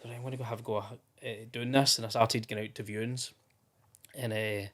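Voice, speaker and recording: Rambling Scottish man, low voice. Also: little pitch movement, a little fast, a bit bright. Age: 30s. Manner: chatty and rambling, with frequent restarts and fillers. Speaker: podcast guest. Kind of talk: casual interview chat.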